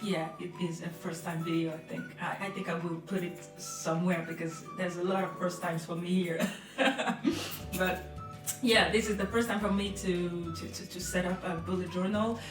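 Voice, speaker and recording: Young woman, French accent, hesitant casual vlog chat, lower voice, echoey room. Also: fairly even intonation, normal speed. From France. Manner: hesitant, many fillers, soft laughs. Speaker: vlogger. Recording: roomy, mic at a distance. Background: music behind.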